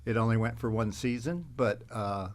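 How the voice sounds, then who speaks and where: A man speaking as an interview guest, telling casual stories in a halting, rambling way. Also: mid-range voice. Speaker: guest, actor.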